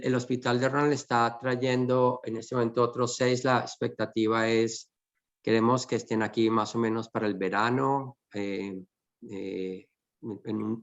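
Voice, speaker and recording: A man hosting a conversational webinar, explaining things in halting, repeated phrases. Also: lowish voice, gravelly, muffled recording. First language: Spanish. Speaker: host.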